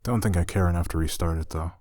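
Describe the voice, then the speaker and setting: A man's voice: sits low, fast talker, a little mellow, no room sound. A man playing an easygoing, teasing, slightly flustered roommate in a conversational ASMR roleplay. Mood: soft, sheepish. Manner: casual, hesitant, self-correcting, dry teasing.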